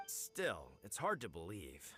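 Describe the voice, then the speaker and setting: A man's voice: low voice, huge pitch swings, slow, music in the background, full character voice. A man voicing a village hunter in a video game, emphatic and conversational. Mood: puzzled. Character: exasperated but friendly village hunter.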